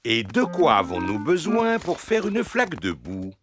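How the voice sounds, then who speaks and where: Cartoon dad pig: jovial amateur scientist, very animated intonation, music behind. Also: male voice, a little high, measured pace. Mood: keen, explanatory. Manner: explanatory, jovial. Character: cheerful father pig, eager amateur scientist. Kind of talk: dubbed children's cartoon dialogue.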